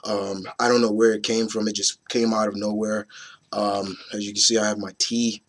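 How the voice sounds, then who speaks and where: A hoarse man in his thirties, getting over a cold, hosting his opinion video in a rambling, casual way with many fillers and restarts. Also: lowish voice, level tone, normal speed. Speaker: host of an opinion video series. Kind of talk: casual chat to camera.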